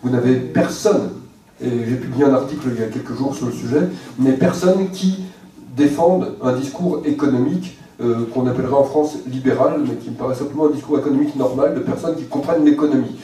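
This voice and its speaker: A man's voice: medium pitch, dark tone. Man lecturing in a very echoey hall. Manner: measured, with frequent hesitant fillers. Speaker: guest speaker. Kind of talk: lecture to an audience. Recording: very echoey hall, mic far off.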